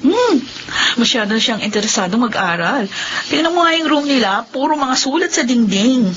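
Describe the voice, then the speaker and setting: Affectionate young wife, muffled radio sound. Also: female voice, high voice, animated, normal speed, a bit bright. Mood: casual, affectionate. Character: affectionate young wife and mother, gently teasing. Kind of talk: Filipino radio drama acting. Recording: dull lo-fi radio recording, faint noise.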